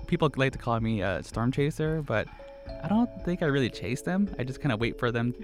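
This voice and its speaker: A man's voice: medium pitch, animated, a little fast, music in the background. A young man photographer in a studio interview, talking in a laid-back, casual way. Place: radio studio.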